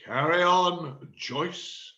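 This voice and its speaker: A man's voice: on the high side, extremely slow, sharp tone, highs cut off, heavily acted. A man playing an exasperated, theatrical amateur stage director in a read-through of a comedy play. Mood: forced calm.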